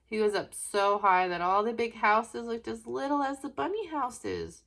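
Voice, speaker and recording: A woman narrating a children's picture book in an expressive way, with question-asking asides to young listeners. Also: on the high side, normal speed. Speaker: children's story reader.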